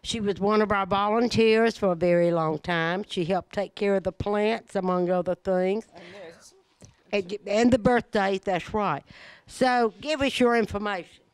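A woman's voice: medium pitch, fairly even intonation, a little slow, clear, resonant tone. Older woman host, friendly folksy emcee patter on a handheld mic in a community hall. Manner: folksy, calls people honey. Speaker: senior center host. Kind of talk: casual emcee chat. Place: large indoor community room.

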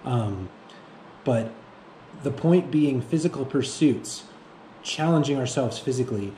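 A man with a soft-edged, mellow voice talks reflectively and without a script. He gropes for words and restarts phrases as he goes. The room adds noticeable echo, with faint noise underneath. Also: medium pitch. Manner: halting, searching for words, repeating himself. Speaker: fitness vlogger. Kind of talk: reflective unscripted monologue to camera. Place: indoor room with bare walls.

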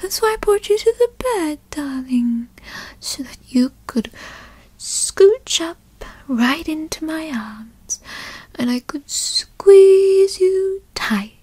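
A woman playing a possessive, doting, teasing girlfriend in a whispered ASMR roleplay, coaxing and cooing in a breathy voice. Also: sits high, big pitch swings, warm tone, no echo, heavily acted. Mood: cajoling, possessive. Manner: coaxing, cooing, slow and indulgent. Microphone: close studio mic.

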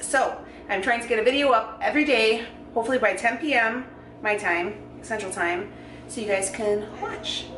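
A woman's voice: fairly high pitch, a bit bright. Chatty Midwestern woman in a kitchen. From United States. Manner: chatty, filler-heavy, self-joking. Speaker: vlogger. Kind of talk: casual cook-along vlog. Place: home kitchen, indoors. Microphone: camera mic, moving about the room. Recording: echoey kitchen with noise.

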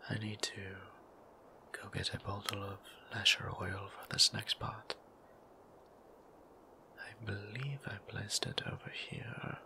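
A man whispering as a gentle vampire priest. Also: lowish voice, slow, long pauses. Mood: tender. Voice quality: soft whisper, gravelly. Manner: formal, old-fashioned phrasing, gently teasing. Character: gentle formal male vampire priest, doting. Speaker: voice actor. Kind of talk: whispered ASMR roleplay.

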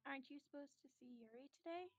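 A woman reading a quiet, subdued teenage girl who puts on cheer, in a staged reading of a visual novel. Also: high voice, racing, no room sound, highs cut off.

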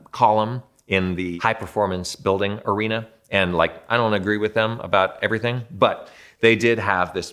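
A man's voice: lowish voice, fairly bright tone. A man in his thirties, a building performance consultant, explaining home quality control to camera in a conversational, self-deprecating way from an indoor studio.

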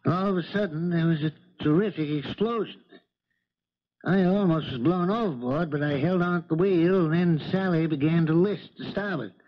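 Casting: male actor as old charter skipper, folksy, shaken, indignant, slightly high voice, dull vintage radio sound. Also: normal speed, lightly acted. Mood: grim recounting. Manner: folksy, ungrammatical working-man speech. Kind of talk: 1970s radio drama acting. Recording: muffled vintage radio broadcast recording.

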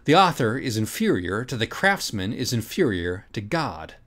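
A man's voice: on the low side, sing-song, moderate pace, heavy background noise. A man reading an audiobook as a dramatic rant, emphatic and sardonic in manner. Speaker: audiobook reader. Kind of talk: dramatic first-person reading of a mock-philosophical rant.

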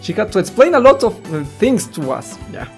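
Male let's player, casual and rambling, animated pitch, slightly stumbling delivery, mild swearing, music behind. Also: brisk, vocal fry. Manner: rambling, stumbling over words, swearing mildly. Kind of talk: casual reaction commentary while reading a visual novel aloud.

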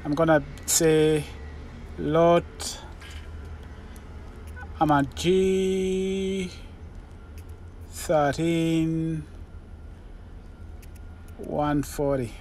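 Male truck-driver vlogger, slow and step-by-step, casual, faint vehicle noise in the background. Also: fairly high pitch, fairly even intonation, darkish tone. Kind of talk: casual vlog walkthrough, thinking aloud. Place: truck cab and outdoor rail yard.

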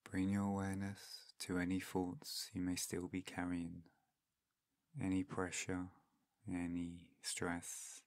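A man guiding a meditation in a soft-spoken, soothing, unhurried manner with long pauses between phrases. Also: deep voice, completely flat delivery, no echo. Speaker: meditation guide. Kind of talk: guided meditation narration.

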